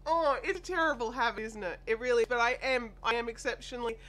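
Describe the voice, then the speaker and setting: A woman's voice: a little high, quick pace, fairly bright tone. Australian woman with a sore, nasal throat. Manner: chatty, apologetic, reads viewer comments aloud. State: sore throat, voice deeper and nasal from a chest cold. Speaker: craft live stream host. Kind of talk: casual live stream chat. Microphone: desk microphone. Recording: faint air conditioner hum.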